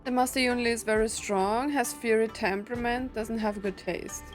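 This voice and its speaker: A woman's voice: on the high side, fairly even intonation, moderate pace. A woman gives casual, offhand gameplay commentary as a streamer, muttering to herself and reading choices aloud. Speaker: game streamer. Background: video game music.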